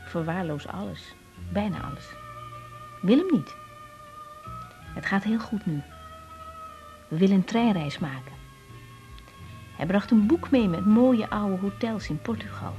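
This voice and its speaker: A woman's voice: mid-range voice, expressive, a little slow, pauses often, dark tone, soft background music, poor audio. An actress playing a harried, emotional young woman doctor in a radio drama, speaking expressively. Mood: confiding, troubled. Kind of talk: Dutch radio drama acting.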